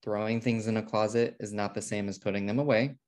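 A man's voice: fairly low pitch, fairly even intonation, fast talker, a little mellow, natural voice. An American man playing a fussy, controlling twin brother in a sitcom table read. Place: indoor room, on a video call. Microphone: video call microphone.

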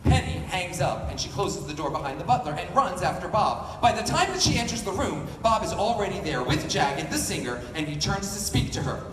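A man's voice: sits high, big pitch swings, brisk, hardly any pauses. A man hosting a live table read, narrating stage directions theatrically and playfully for cast and crowd. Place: large convention panel room. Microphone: handheld mic through PA, recorded from audience. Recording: echoey convention hall from far off, noisy crowd.

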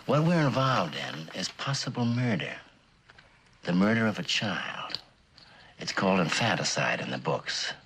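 An actor playing a stern, calculating American police detective, deliberate and insinuating, in a mid-century crime drama. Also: male voice, low-pitched, sing-song, fairly bright tone, a little hiss or hum, full character voice. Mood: pressing, grave.

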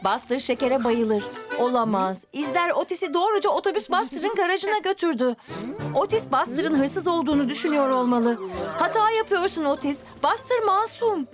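Lady narrator for little kids cartoon, high voice, really expressive, asks lots of questions, music behind her. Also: normal speed. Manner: expressive, exclamatory, talks to the characters and asks questions. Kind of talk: scripted storytelling narration for young children.

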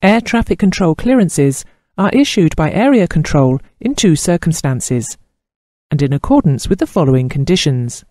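Male narrator, scripted lesson, formal, measured, animated intonation, soft mellow tone, precise diction. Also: on the high side, dry recording, clean studio sound. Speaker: training course narrator.

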